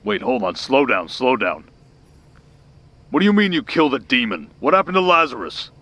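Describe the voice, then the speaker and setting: A man playing a brisk, exasperated handler of vampire hunters in an ASMR roleplay drama. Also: medium pitch, big pitch swings, sharp tone, pitched above the performer's own voice.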